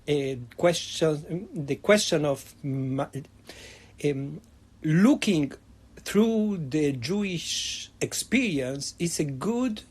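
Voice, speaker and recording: Slow, halting man with many pauses. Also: on the high side, a little mellow. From Argentina. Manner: hesitant, many fillers and restarts. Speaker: guest, history professor. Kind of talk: academic interview.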